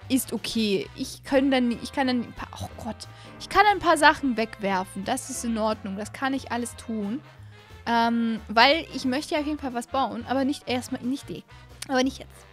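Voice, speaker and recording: A woman in her twenties giving casual, rambling let's-play commentary in an expressive way, close on a studio microphone. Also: fairly high pitch. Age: 20s. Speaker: host of a gaming channel. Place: small indoor streaming room at home. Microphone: close studio microphone on an arm.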